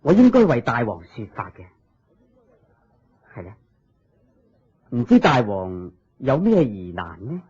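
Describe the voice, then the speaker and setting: An actor playing a serene, wise Buddha in a Cantonese radio drama, teaching in measured phrases with a husky voice and a Hong Kong accent. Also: male voice, mid-range voice, sing-song, darkish tone, full character voice. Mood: gracious. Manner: measured, sermon-like explaining in short phrases. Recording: dull lo-fi radio drama recording.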